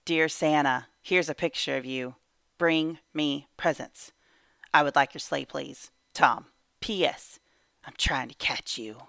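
A woman's voice: deep voice, unhurried, vocal fry, fairly bright tone, dry recording, clean studio sound, full character voice. A woman voicing a young boy who bluntly lists his big wishes to Santa in a storybook. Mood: bold, demanding.

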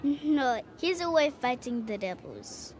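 A high-voiced, plucky Indian girl vendor. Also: expressive, slow, a little mellow, a little hiss or hum. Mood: confident, cheeky. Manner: cheeky, persistent haggling. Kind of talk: cinematic video game acting. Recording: clear outdoor street ambience behind.

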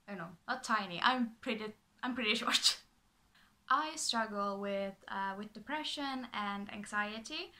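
A woman's voice: fairly high pitch, sharp tone. A young woman from Finland with a Finland Swedish accent, native speaker of Swedish, chatting to camera in her small bedroom from a script, bubbly and full of fillers and restarts. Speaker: vlogger. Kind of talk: casual talking to camera, reading from a script.

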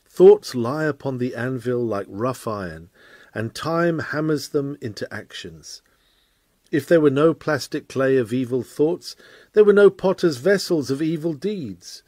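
A man reads a scripted sermon aloud in a measured, formal and emphatic oratorical manner. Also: medium pitch, darkish tone, no room sound. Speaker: reader of a sermon. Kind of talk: expressive sermon reading, scripted narration.

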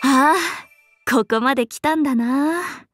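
A woman voicing a playful, cheerful young swordswoman in an anime mobile game, breezy, expressive and teasing like a big sister. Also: sits high, unhurried, soft background music. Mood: grateful, warm. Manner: breezy, expressive, teasing, casual with sudden formal turns.

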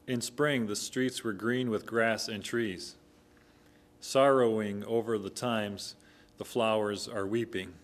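Younger man reading verse evenly, low-pitched, a step back from a stand mic. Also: fairly even intonation, takes it slowly. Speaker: English reader of the translation. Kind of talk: reading a poem aloud. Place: small community room with an audience. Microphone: stand microphone, a step away.

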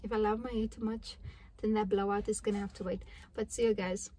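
A woman's voice: medium pitch, a little fast. A young woman talks casually into a phone camera while sitting in a parked car. Her delivery is chatty and rambling, full of small asides and restarts, like a personal vlog. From South Africa. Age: young adult. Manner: chatty, rambling, animated hand gestures. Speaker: vlogger. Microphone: phone camera at arm's length.

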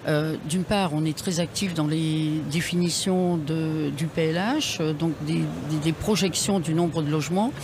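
A woman who is a mayor, answering a radio interview haltingly with many hesitations, at a trade fair stand. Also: sits low, fairly even intonation. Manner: hesitant, many ums and restarts. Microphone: table radio mic with headphones. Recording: noisy trade fair hall.

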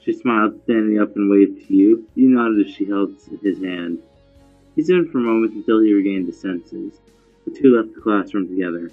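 A man's voice: lowish voice, moderate pace, soft background music. A man narrating fan fiction aloud in a casual style, with joking asides. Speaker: fan fiction reader and commentator. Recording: thin, phone-like lo-fi audio.

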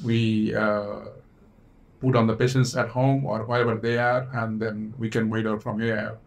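A man in his forties from Bangladesh, a doctor, answering interview questions plainly and calmly in an office, with slightly English. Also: on the low side, gravelly. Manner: plain, measured, slightly non-native English. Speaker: physician, interview guest.

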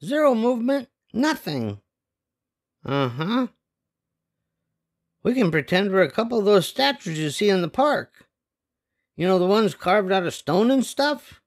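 An older man voicing restless, imaginative young boys in an expressive, dramatic storybook read-aloud. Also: high voice, a little slow, pauses often, full character voice. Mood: bossy, earnest. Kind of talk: animated storybook read-aloud with character voices.

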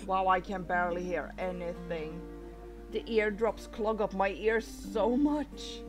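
A woman's voice: mid-range voice, steady tone, unhurried. A woman streaming a game from home on a headset, chatting casually and thinking aloud. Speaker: streamer playing a visual novel. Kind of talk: casual let's-play chat. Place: room at home. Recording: game music in the background.

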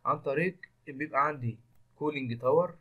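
Man, Egyptian Arabic accent, lecturer, quick pace, step-by-step technical explanation, English terms mixed in. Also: a little high, phone-quality sound. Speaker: engineering lecturer. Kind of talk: screen-recorded technical lecture.

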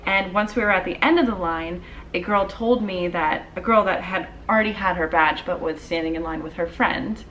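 A woman's voice: on the low side, normal speed, fairly bright tone, recorded from a distance, slight background noise, rough recording. A young woman in her twenties telling a casual storytime vlog at home, chatty with lots of "like" and "um". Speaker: vlogger. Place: dim living room at home.

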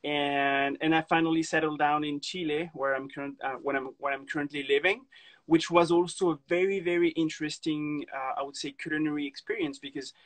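French-accented man, halting and chatty. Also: on the high side, fairly even intonation, a bit bright. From France. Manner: halting, many restarts and fillers, laughs often. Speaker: guest. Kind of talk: casual interview chat. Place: indoors, wooden room. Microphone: phone camera on video call.

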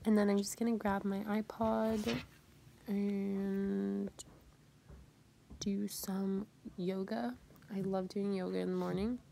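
Young woman, getting over a cold, slow unhurried talk, gravelly fry, soft casual vlog narration. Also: medium pitch, slight background noise. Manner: relaxed, soft-spoken, with light laughs. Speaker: vlogger. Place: bedroom at home.

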